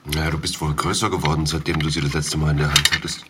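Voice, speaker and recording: An actor playing a calm, matter-of-fact male friend in an audio drama. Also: extremely low pitch, fast talker, heavy background noise. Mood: dry.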